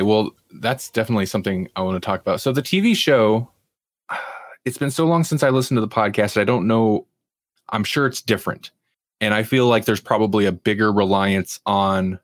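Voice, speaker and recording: A man's voice: on the low side, normal speed, no room sound, studio quality. A man co-hosting a podcast, chatting casually and in a rambling way about television. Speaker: podcast co-host. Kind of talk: casual review chat about TV.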